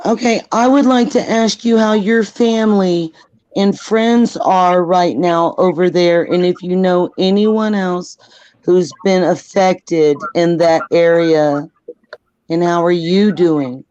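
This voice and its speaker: A woman's voice: on the low side, slow pace, a little mellow, no room sound, dull audio. A woman from Texas who grew up in Houston, chatting earnestly on a call. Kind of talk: casual video call chat.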